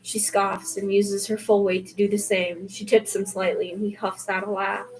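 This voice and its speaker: A woman's voice: medium pitch, level tone, moderate pace, rough recording. A woman reading fiction aloud in an informal, slightly unpolished storytelling style. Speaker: podfic narrator reading fan fiction.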